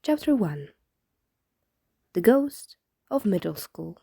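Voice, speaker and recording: A woman's voice: medium pitch, big pitch swings, takes it slowly, gravelly, warm tone, husky, no room sound, clean studio sound. A woman reading fan fiction aloud as scripted narration, in the style of an audiobook. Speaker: fan fiction podfic reader.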